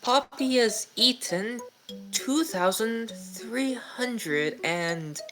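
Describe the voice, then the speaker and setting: A woman as a slow, swooping, all-knowing oddball. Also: fairly high pitch, lively intonation, music in the background. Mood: matter-of-fact. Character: precise, odd, all-knowing sibling reciting facts.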